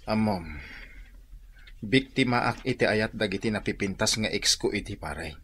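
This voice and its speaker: A man's voice: lowish voice, moderate pace, fairly bright tone, a little hiss or hum. A man playing a loyal, slightly boastful young husband in a comedy radio drama, bantering conversationally. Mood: defensive, proud.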